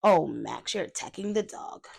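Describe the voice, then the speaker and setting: A woman's voice: fairly low pitch, very animated, normal speed, gravelly, a little mellow, husky. A woman hosting a read-aloud for young children, reading a picture book and talking to the listeners with questions and little asides.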